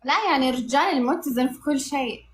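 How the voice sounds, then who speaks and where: High-pitched Hejazi Saudi woman, playful. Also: quick pace, slight background noise. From Hejaz, Saudi Arabia. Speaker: livestream guest. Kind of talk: casual livestream chat.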